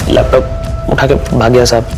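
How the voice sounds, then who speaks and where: An actor playing an aggrieved working-class helper in a TV drama, a man with an Indian accent who talks haltingly and deferentially. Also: mid-range voice, flat delivery, vocal fry, lightly acted. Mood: nervous, deferential. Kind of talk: TV crime drama dialogue. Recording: loud background music under dialogue.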